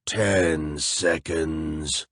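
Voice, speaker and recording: A man doing a slow, deep flesh golem. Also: steady tone, a bit bright, no echo, clean studio sound, exaggerated voice. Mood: menacing. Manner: slow, deep, gurgling and distant. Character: huge stitched flesh golem, cold and menacing.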